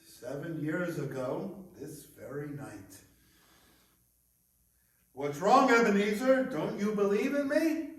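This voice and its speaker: A man's voice: sits high, slow, pauses often. A man playing the solemn, remorseful ghost of a dead businessman in a radio play, declaiming mournfully. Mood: solemn, challenging. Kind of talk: stage radio-play acting. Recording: very echoey room, far from the mic.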